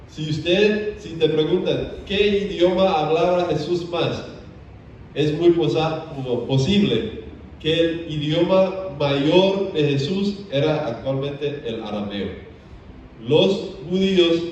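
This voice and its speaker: A man from Belize, a theology student teaching a church seminar, often pausing on "eh". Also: a little high, darkish tone, a little hiss or hum. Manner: frequent "eh" hesitations. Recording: echoey church from a distant, muffled mic.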